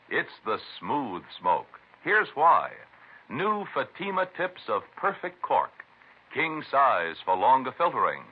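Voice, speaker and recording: Casting: male commercial announcer, husky, swooping delivery, old radio ad, tinny band-limited sound. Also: mid-range voice. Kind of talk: 1950s radio advertisement. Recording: tinny vintage radio recording.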